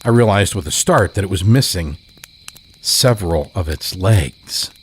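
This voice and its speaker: A very deep male voice tells a first-person horror tale in a measured, deliberate delivery. Faint night ambience hisses softly behind him. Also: animated. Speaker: podcast host and story narrator. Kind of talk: scripted horror story narration.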